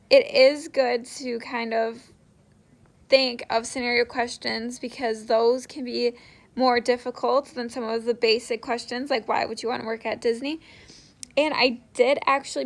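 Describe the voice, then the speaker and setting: A young woman chatting casually to viewers as she shares interview tips, often dropping "like" into her sentences. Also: fairly high pitch. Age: young adult. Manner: conversational, frequent "like" as filler. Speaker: vlogger sharing interview tips.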